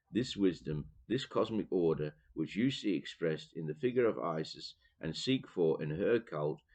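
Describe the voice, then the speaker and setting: A man with a Dutch accent, reading from a theology book and pausing to explain, with many fillers and restarts. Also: deep voice, darkish tone. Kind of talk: reading aloud with commentary.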